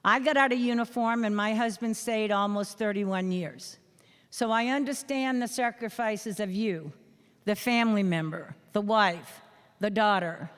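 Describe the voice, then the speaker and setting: A woman's voice: mid-range voice, clean tone. An older woman with a New England accent, a veteran giving an earnest, emphatic speech at a school program. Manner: earnest, emphatic, with measured pauses. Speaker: veteran guest speaker. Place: school hall or gym. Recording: echoey hall.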